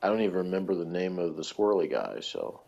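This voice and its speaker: Low-voiced man thinking aloud, dull recording. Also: big pitch swings, fast, creaky, darkish tone. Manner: hesitant, tentative, thinking aloud. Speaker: guest reviewer discussing TV characters. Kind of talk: casual analytical chat.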